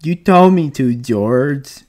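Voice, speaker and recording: A man's voice: medium pitch, animated, slow pace, a little mellow, pitched above the performer's own voice. A man voicing a huge, simple-minded, childlike ranch hand who pleads and whimpers in rustic speech. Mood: miserable.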